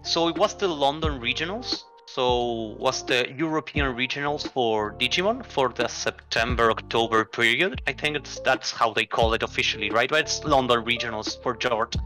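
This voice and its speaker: Bright-voiced Argentine-born man, easygoing. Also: mid-range voice, moderate pace, few pauses, faint music behind. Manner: easygoing, restarts often, many likes and rights. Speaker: interview guest, card game player. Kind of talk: casual chat.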